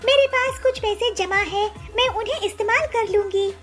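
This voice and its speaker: A woman's voice: very high-pitched, normal speed, fairly bright tone, faint music behind. A woman voicing a cheerful, devoted young daughter bird in a children's cartoon story. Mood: reassuring, determined.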